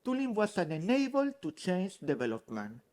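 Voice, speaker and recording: A man's voice: high voice, huge pitch swings, normal speed, darkish tone. A man from Spain with a mixed Spanish and French accent, earnestly explaining as a conference speaker. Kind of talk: conference talk. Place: conference hall.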